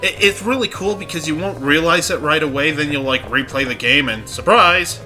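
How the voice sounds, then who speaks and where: A man's voice: on the high side, moderate pace, sharp tone, soft background music, rough recording. A congested man giving casual, joking commentary over a game playthrough. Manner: joking, offhand asides. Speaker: let's play host.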